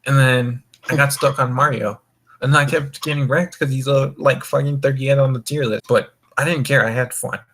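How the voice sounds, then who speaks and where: A man's voice: mid-range voice, steady tone, moderate pace, a bit bright, breathy edge. A young man hosting a gaming voice call, rambling casually in a laid-back way and swearing often. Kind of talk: casual group chat, rambling. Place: online voice call.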